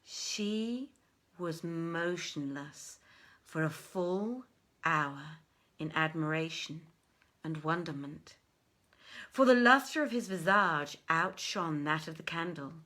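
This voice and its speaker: A woman reading a literary tale as a dramatic storyteller, with measured, deliberate phrasing and theatrical pauses. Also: low-pitched, animated, pushed and bright. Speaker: storyteller, audiobook reader.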